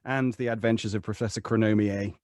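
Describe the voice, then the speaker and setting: A man's voice: on the low side, fairly even intonation, brisk, darkish tone, no room sound, studio quality. A man in his thirties chatting casually on a group video call from a home booth. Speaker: voice actor and podcast cast member. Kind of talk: casual chat. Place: small dark home booth.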